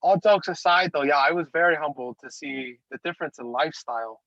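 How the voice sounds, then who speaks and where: A man's voice: on the high side, brisk, gravelly, no echo, dull audio. A young man from Utah, a podcast guest telling stories in an easygoing, joking way. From Utah, United States. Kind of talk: casual interview storytelling.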